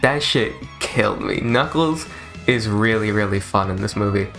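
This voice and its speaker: A man's voice: lowish voice, normal speed, vocal fry, fairly bright tone. A man giving a casual, rambling movie review in an informal, enthusiastic, sweary way, like a YouTuber. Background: video game soundtrack music.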